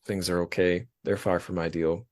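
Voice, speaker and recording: A man's voice: low voice, flat delivery, moderate pace, a little mellow. A man in his thirties hosting a casual podcast, talking in an informal, reflective way with some swearing. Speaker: podcast host.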